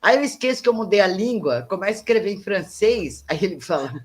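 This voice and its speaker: A woman's voice: mid-range voice, animated, fast. Chatty self-mocking middle-aged woman. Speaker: student. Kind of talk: casual class chat.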